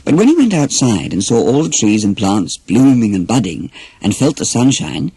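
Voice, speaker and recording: Mellow, husky man telling children's stories. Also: on the low side, big pitch swings, normal speed, dry recording, poor audio. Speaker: storyteller reading a children's audiobook. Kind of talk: expressive scripted children's story narration.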